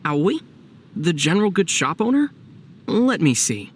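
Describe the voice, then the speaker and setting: A young man playing a calm, teasing adventurer hero in a video game, easygoing and dry in his delivery. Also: high voice, a little slow, husky, full character voice. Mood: thoughtful.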